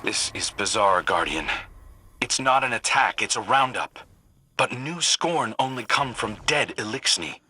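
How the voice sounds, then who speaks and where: Earnest sci-fi commander giving briefings. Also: male voice, medium pitch, lively intonation, bright, forceful tone, a little hiss or hum. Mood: focused, urgent. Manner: measured, serious mission briefing. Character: earnest, duty-bound male sci-fi commander. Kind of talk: video game mission narration.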